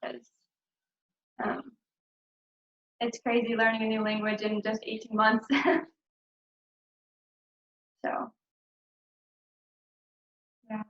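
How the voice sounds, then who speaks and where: A woman's voice: a little high, completely flat delivery, slow, long pauses, a bit bright. Young woman, casual chat, many ums and likes, video call, roomy echo, muffled audio. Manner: chatty, many ums and likes, light laughs. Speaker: returned missionary speaker.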